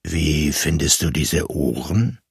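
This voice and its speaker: A man acts out a bearded craftsman from a storybook. His voice is deep and grave, slow and dry, with calm pride in his handiwork. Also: vocal fry, husky, no echo, full character voice. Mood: grave, matter-of-fact. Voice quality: deep, serious. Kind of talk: storybook reading.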